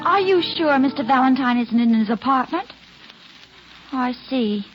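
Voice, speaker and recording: An actress playing a brisk, determined secretary turned amateur sleuth in a 1940s radio drama. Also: female voice, sits high, lively intonation, faint music behind. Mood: worried. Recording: muffled, faintly hissy old radio recording.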